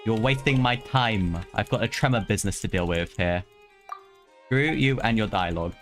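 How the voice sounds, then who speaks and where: A man's voice: fairly low pitch, moderate pace. A man giving casual, slangy live gameplay commentary as a streamer, grumbling and talking back to the game. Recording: game music behind the voice.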